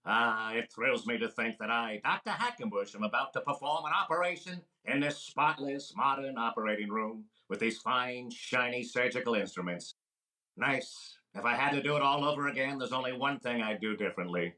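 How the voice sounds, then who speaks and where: Guy doing a fast-talking sharp-toned quack doc, old radio comedy, deadpan jokes. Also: medium pitch, low-quality recording. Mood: wisecracking. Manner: rapid wisecracking patter, punchlines delivered deadpan. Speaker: actor playing a comedian in a radio recreation. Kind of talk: old-time radio comedy with sung patter.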